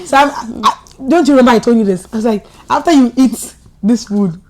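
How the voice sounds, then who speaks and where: A woman's voice: fairly high pitch, brisk, a little mellow, slight background noise. A young woman with a Ghanaian accent, telling stories in casual banter with a teasing manner, acting out remarks. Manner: teasing, acts out quoted remarks. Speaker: guest friend telling stories.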